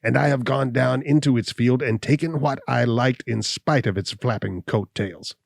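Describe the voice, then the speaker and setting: A man voicing a scornful, cynical hunchbacked old landowner who speaks slowly and defiantly. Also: medium pitch, vocal fry, dry recording, studio quality, pitched above the performer's own voice.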